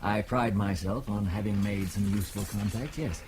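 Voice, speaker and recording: Self-assured newspaperman in a spy drama played by a man, courteous and insinuating, fairly low voice. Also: fairly even intonation, normal speed, background noise, close to an everyday voice. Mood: probing. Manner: polite, formal, insinuating. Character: smooth, self-assured, probing male journalist. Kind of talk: audio drama acting.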